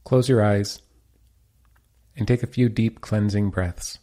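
A man leading a guided meditation as a teacher, giving calm, soothing instructions. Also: fairly low pitch, takes it slowly.

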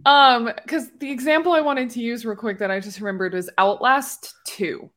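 A woman's voice: on the high side, normal speed, a bit bright. A woman chatting informally and playfully as a panelist in a podcast discussion. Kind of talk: casual chat, podcast discussion.

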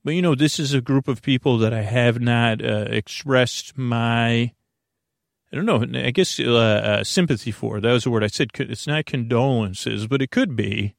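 A man with a creaky voice hosting a bedtime podcast, rambling in a lulling, halting way and often interrupting himself as he drifts into tangents. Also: mid-range voice, no room sound, studio quality. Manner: meandering, halting, digressive, self-interrupting; soothing, lulling. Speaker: podcast host.

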